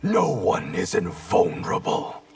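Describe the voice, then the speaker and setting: A grim, creaky-voiced warrior. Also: male voice, low-pitched, huge pitch swings, a little slow, music in the background, heavily acted. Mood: grim threat. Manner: solemn, menacing. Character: grim, threatening male warrior. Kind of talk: video game fight taunts.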